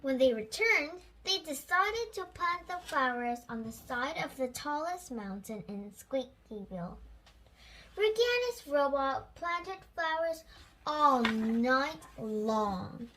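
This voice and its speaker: A child with a high, bright voice reads a storybook aloud. The delivery is slow and careful, with the odd repeated word, and the pitch swings in a lively way. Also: rough recording. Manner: careful oral reading with repeated words and stumbles.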